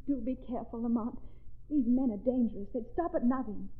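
A woman's voice: a little high, a little fast, close to an everyday voice. A woman playing a hero's anxious, devoted companion in an old-time radio drama. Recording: tinny old radio recording.

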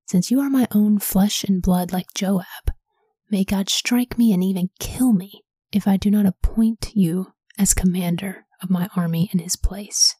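Aging king, commanding but merciful, read by a woman in a husky whisper, dry. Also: medium pitch, a little slow, no room sound. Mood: solemn. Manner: soft whisper. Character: ancient king, older man, commanding yet merciful.

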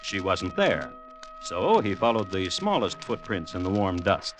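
Wry radio-play narrator, lowish voice, very animated intonation, theatrical, deliberate pauses. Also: male voice, music in the background. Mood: dry, explanatory. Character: wry storyteller chronicling a village murder tale. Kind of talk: classic radio drama narration.

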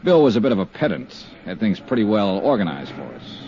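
A wry radio private eye. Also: male voice, lowish voice, sing-song, fairly quick, warm tone, a little hiss or hum. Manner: dry, matter-of-fact narration. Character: brisk, wisecracking male insurance investigator. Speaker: insurance investigator and narrator. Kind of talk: 1950s radio drama acting. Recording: dull lo-fi 1950s radio broadcast.

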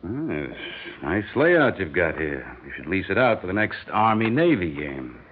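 Cocky sarcastic pr guy, deep and lively, dull lo-fi old radio sound. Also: moderate pace, slight background noise. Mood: brash. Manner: brash, sarcastic, flirty. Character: wisecracking male public relations man. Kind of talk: 1960s radio drama acting.

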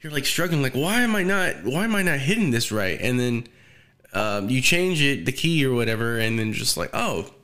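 Laid-back young man, mid-pitched voice. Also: very animated, normal speed, sharp tone. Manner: laid-back, swears freely, laughs often. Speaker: podcast host, musician. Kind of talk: casual podcast chat.

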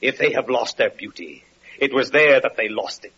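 Man acting a scornful, tormented wanderer, sharp-voiced. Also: medium pitch, normal speed, lightly acted. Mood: bitter disdain. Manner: mocking, scornful, archaic declamation. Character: bitter, lame, evil-faced wanderer, tormented and scornful. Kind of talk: old-time radio drama acting. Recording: muffled, noisy vintage radio recording.

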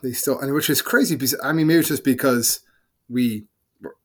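A man's voice: medium pitch, fairly quick, a bit bright, husky. Middle-aged guy on a podcast, easygoing, likes to tease his cohost. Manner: teasing, easygoing banter. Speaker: podcast co-host. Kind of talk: casual chat.

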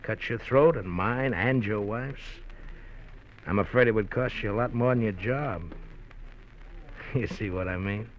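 An actor playing a sly, menacing gangster in an old radio crime drama, taunting with false friendliness. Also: male voice, on the low side, measured pace, pauses often, close to an everyday voice. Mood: veiled menace. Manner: taunting, falsely friendly, chuckling between threats. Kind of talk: 1940s-50s radio crime drama acting. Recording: muffled, noisy old radio recording.

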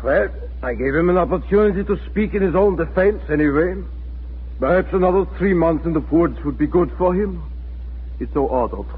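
An actor playing a smug, menacing German occupation colonel in a 1940s radio drama, imperious and sardonic. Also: male voice, fairly high pitch, brisk, breathy edge. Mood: smug, dismissive. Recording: scratchy, phone-like 1940s radio recording.

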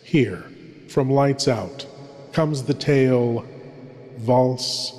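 A man's voice: medium pitch, extremely slow, darkish tone, faint music behind. A man narrating horror in a theatrical, grandiose, ominous style as a sinister show host. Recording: long hall reverb.

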